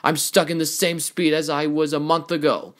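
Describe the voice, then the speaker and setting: A man voicing a cocky, excitable young talking race car in an amateur cartoon audition, with theatrical, emphatic delivery. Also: mid-range voice, measured pace, natural voice. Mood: frustrated.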